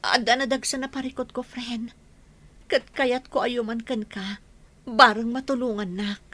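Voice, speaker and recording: Troubled, higher-voiced confiding wife. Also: female voice, fairly even intonation, a little slow, sharp tone, dry recording. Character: troubled married woman confiding in her friend. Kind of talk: radio drama acting.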